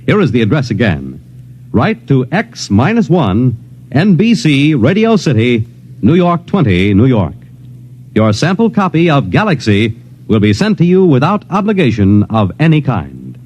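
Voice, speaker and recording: An American man reading a scripted 1950s radio promotional announcement in a formal, clearly enunciated manner. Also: mid-range voice, wildly swooping pitch, moderate pace, a little mellow, a little hiss or hum.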